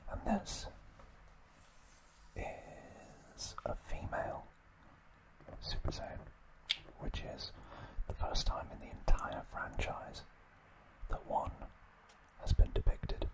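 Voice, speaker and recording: A man hosts ASMR in a whisper, with no full voice behind the words. He goes very slowly and deliberately, leaving pauses between short phrases as he narrates trivia in a hushed way. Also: low-quality recording. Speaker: ASMR video host.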